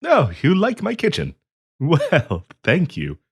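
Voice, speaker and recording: A man's voice: a little high, huge pitch swings, takes it slowly, husky, exaggerated voice. A man playing a polite, hesitant half-dragon diplomat in a fantasy roleplay audio, genteel and eager to please as he hosts the listener. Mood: chatty, proud. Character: polite, flustered half-dragon diplomat hosting tea in his cave.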